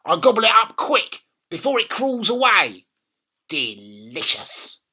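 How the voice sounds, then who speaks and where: A horrid old grandmother from a children's storybook. Her throaty, raspy voice swings up and down as she bosses and gloats. She stretches words slowly, with witchy menace. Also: medium pitch, sing-song, sharp tone, pitched above the performer's own voice, exaggerated voice. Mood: gloating relish. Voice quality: throaty, raspy, whispery at times. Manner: snapping, slow menacing emphasis.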